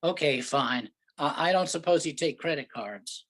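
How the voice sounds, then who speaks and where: A man's voice: a little high, lively intonation, normal speed, fairly bright tone. A man playing an impatient, sarcastic, exasperated husband on vacation in an amateur staged play reading. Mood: incredulous, grudging. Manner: sarcastic, griping, incredulous.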